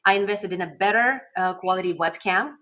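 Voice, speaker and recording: A woman with a Lithuanian accent speaks at a middle pitch in a casual instructional vlog. She is conversational and encouraging, leaning on important words as a coach would. She records at her desk in a home office. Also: a little fast, sharp tone. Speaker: video coach and host. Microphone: desk microphone at a webcam setup.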